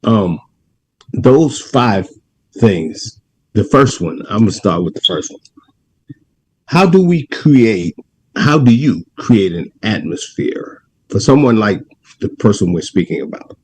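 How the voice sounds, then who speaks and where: A man with a low, husky voice hosts a talk program. He talks slowly and eagerly, circling back over his words as he works out each question. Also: sing-song, a little mellow, low-quality recording. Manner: enthusiastic, repeats words while framing questions. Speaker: host. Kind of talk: interviewing a guest.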